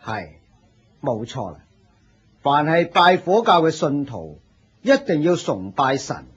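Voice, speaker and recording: A man's voice: fairly high pitch, very animated, slow pace, breathy edge, lo-fi sound, heavily acted. A man with a Hong Kong Cantonese accent playing a stern, pompous and zealous eldest disciple in a radio drama, authoritative and dogmatic. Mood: solemn, pompous.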